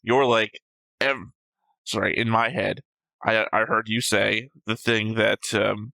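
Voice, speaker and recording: A man with a bright, sharp voice talks casually as co-host of a discussion show. He is short on sleep, argumentative and often restates his own points. The sound is dry, with little room in it. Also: lowish voice, fairly even intonation, takes it slowly, vocal fry, dry recording, low-quality recording. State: sleep-deprived. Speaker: co-host of an anime reaction show. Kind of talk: casual discussion of episode notes.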